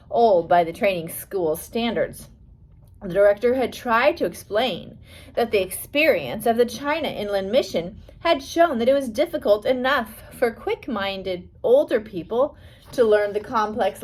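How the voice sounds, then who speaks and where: A woman reading a scripted biography aloud as an audiobook, with careful storytelling delivery. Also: a little high. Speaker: audiobook reader.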